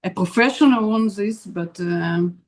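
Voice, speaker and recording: A middle-aged woman whose first language is Russian speaks slowly in an interview, with pitch that sits in the middle range. She halts often, filling gaps with "uh" as she gropes for words in English. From Azerbaijan. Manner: hesitant, many fillers, searches for words. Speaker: composer. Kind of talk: conversational interview.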